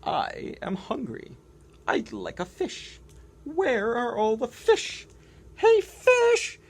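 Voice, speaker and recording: A woman's voice: a little high, wildly swooping pitch, takes it slowly, breathy edge, pitched above the performer's own voice, full character voice. A woman voicing a grumpy, whiny young penguin in a picture book read-aloud. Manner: complaining, whiny.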